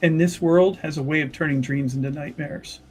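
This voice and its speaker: The husky, careful peacemaker of a fantasy roleplaying stream, played by a man, offering solemn counsel in measured pieces while faint guitar plays. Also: fairly high pitch, fairly even intonation, darkish tone. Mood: earnest, gentle. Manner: measured, halting, earnest. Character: earnest, conciliatory chantry member, solemn and cautioning. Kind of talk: in-character improvised dramatic dialogue.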